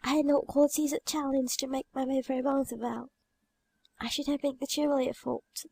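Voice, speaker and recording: A woman playing a gentle, pious young girl who is dying, speaking softly and weakly in a dramatic audiobook reading. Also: high voice, a little fast. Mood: serene, frail. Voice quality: soft, weak, breathy. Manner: calm, gentle, tender.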